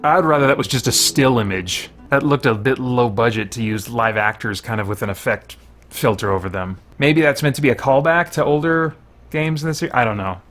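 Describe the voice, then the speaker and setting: A man's voice: medium pitch, a little fast, a bit bright, faint music behind. Candid man reacting to a video game. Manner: candid, conversational, thinking aloud. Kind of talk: casual first-impressions gameplay commentary.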